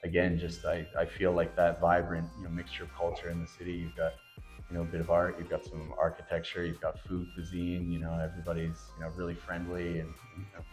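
A Canadian-born rugby player chatting casually on a panel, with relaxed, rambling speech and many fillers. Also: male voice, low-pitched, quick pace, soft background music. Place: indoor room with a branded backdrop.

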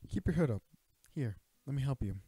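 A man's voice: medium pitch, lively intonation, a little fast, dark tone, husky, dry recording, low-quality recording. A man playing an earnest royal knight and bodyguard in a romantic audio roleplay, speaking formally and hesitantly to his princess. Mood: gentle, reserved. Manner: earnest, hesitant, stammering, formal courtly address. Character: young male knight, protective royal bodyguard, earnest and flustered. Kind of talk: romantic audio roleplay acting, addressing the listener.

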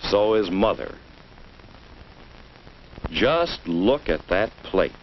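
A man with an American accent narrating a 1950s instructional film in a measured way, as if speaking directly to a child. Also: on the low side, sing-song. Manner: measured, addresses the child directly with rhetorical questions. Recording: dull, noisy vintage educational film soundtrack.

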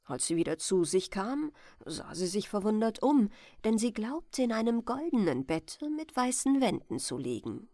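A woman reading a bedtime fairy tale as a storyteller, in a gentle, expressive manner. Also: mid-range voice, normal speed, few pauses, no room sound, clean studio sound.

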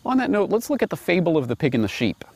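A man in his forties giving reflective commentary and reading aloud, deliberate and emphatic, recorded outdoors on a lapel mic. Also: high voice, sing-song, creaky, a little mellow, dry recording. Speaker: host and commentator. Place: outdoors on grass by trees and water.

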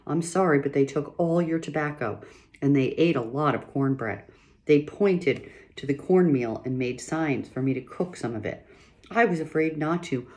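A gentle pioneer mother voiced by a reader anxiously confesses her fears in a read-aloud storybook. The voice lifts a little higher than the narration and sounds worried and apologetic. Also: normal speed, lightly acted. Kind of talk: reading a children's novel aloud.

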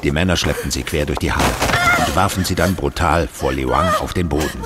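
An actor as a grave storyteller, narrating a horror audio drama in a solemn, suspenseful manner. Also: male voice, a bass voice, a little fast, heavy background noise.

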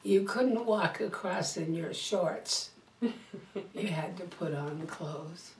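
An older woman recalling college life in a slow, halting way. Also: on the low side, a little hiss or hum. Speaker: alumna interviewee. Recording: distant echoey room.

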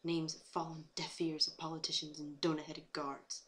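A woman's voice: sits low, level tone, moderate pace, fairly bright tone. A woman voicing a brooding pony vigilante in a solemn, dramatic monologue. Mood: brooding, resolute. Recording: noisy home recording.

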